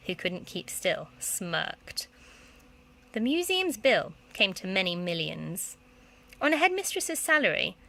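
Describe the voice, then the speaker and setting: A woman's voice: medium pitch, sharp tone. A woman, a primary school teacher, reading a children's story aloud expressively.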